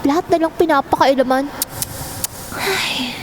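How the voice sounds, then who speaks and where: Woman playing sulky, very high-voiced young daughter. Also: measured pace, a little hiss or hum. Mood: annoyed. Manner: whiny, grumbling asides. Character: sulky, resentful young daughter, polite to elders. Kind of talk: Filipino audio drama acting.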